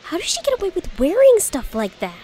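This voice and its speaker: A woman playing a sarcastic, feisty high school girl in an audio drama, snarky and exaggerated in her incredulity. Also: huge pitch swings, fairly quick, dark tone, slight background noise, heavily acted.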